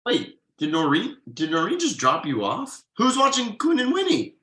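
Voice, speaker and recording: A man playing an exasperated, uptight workaholic father with halting, sardonic delivery in a comedy table read. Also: high-pitched, wildly swooping pitch, sharp tone, full character voice. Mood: indignant.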